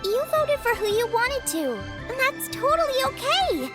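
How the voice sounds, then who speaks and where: Teenage girl chef for a game: very high, bubbly, animated, easygoing and frank. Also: unhurried, heavily acted. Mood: reassuring. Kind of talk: anime video game voice acting. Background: faint game music.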